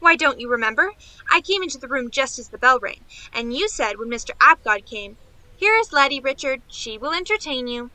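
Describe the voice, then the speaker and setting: A woman's voice: high voice, lively intonation, a little fast, sharp tone, dry recording, heavily acted, poor audio. A woman playing a naive, eager young niece in a radio play, chattering on in rambling run-on sentences. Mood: eager, chatty.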